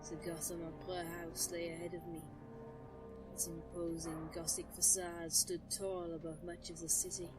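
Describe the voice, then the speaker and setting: A woman playing an ancient, brooding nature sorceress who narrates an audio drama in a solemn, measured, literary manner. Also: sits low, rough recording. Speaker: first-person narrator. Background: music.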